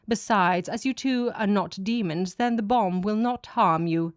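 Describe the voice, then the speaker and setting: A woman voicing a cold, commanding god in an audiobook, speaking sternly and with calm ruthlessness. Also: medium pitch, measured pace, dry recording, clean studio sound, lightly acted. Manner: stern, declaiming, calmly ruthless. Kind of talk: audiobook character reading.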